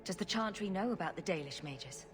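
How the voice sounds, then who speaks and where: A woman's voice: on the low side, lively intonation, brisk, slight background noise, lightly acted. A woman playing a young human heroine in a fantasy video game, speaking in a dry, wry and direct manner. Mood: questioning.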